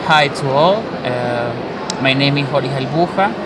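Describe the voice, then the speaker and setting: A man from Ecuador with a Spanish accent, giving an unscripted testimonial in halting second-language English. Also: medium pitch, recorded from a distance, very noisy.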